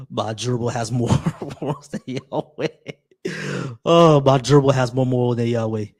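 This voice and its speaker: A man chats loosely on a live stream, welcoming viewers and trailing into little restarts. His voice sits at an average pitch for a man. The tone stays casual and unhurried. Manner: laid-back, shout-outs to viewers, many restarts. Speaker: livestream host.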